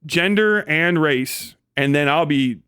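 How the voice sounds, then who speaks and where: A man talks to his livestream in a fairly high, very clear voice. He thinks out loud in a casual, animated ramble and curses freely. The sound is close and dry, with little room in it. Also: takes it slowly, dry recording. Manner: rambling, animated, frequently swearing. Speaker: livestream host.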